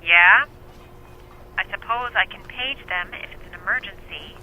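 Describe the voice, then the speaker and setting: A woman's voice: medium pitch, moderate pace, lo-fi sound, a little hiss or hum, close to an everyday voice. A woman playing a suspicious, curt store clerk with a sardonic edge in an audio drama. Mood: suspicious, annoyed. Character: brisk female store clerk, suspicious and snappy. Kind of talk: radio drama acting.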